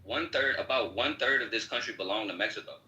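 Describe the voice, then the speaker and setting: A man's voice: mid-range voice, fast, sharp tone, muffled recording. A man taking part in a heated, informal political debate, arguing back in a slangy way and cutting in. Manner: argumentative, slangy, interrupting. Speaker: debate participant.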